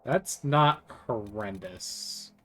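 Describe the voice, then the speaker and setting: A man with a booming voice giving casual live commentary as he plays a video game, thinking aloud as he goes. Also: expressive, very slow, fairly bright tone. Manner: chatty, thinks aloud while playing. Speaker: streamer.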